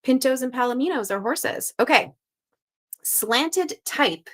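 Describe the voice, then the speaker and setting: A woman's voice: high-pitched, expressive, takes it slowly, fairly bright tone. A woman from Canada hosting a live crossword stream, reading out viewer guesses in an upbeat, chatty way and thinking aloud. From Canada (Montreal).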